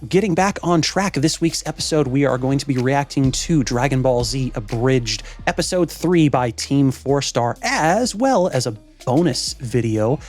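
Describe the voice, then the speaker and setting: A man hosting a reaction show, chatting casually and informally in a rambling way, with loose swearing. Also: mid-range voice. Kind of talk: casual show intro and chat.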